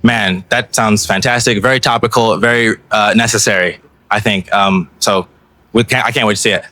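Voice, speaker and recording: A man's voice: fairly low pitch, fairly even intonation, normal speed, bright, forceful tone, no echo. A man hosting an on-location podcast interview outdoors at a film festival, talking in a hyped, slangy, playful way. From Los Angeles. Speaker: podcast host interviewing filmmakers.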